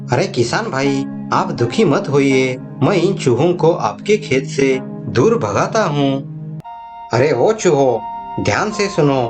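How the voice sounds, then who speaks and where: A man acts out a noble vulture king from a cartoon fable. He is kind yet commanding, speaking in earnest, formal declarations at a middling pitch. Clear music plays behind him. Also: lightly acted. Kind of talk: cartoon moral story voice acting.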